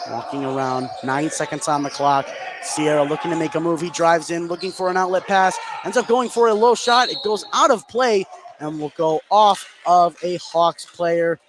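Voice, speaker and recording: A male American radio announcer delivers live basketball play-by-play in a fairly high voice. He calls the action excitedly. Clear venue noise runs behind him. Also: moderate pace. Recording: live game broadcast with clear background noise. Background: sports venue noise.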